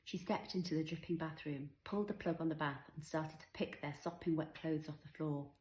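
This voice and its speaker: A woman's voice: low-pitched, fairly even intonation, fairly quick, darkish tone, roomy sound. A woman reading her own novel aloud as scripted story narration.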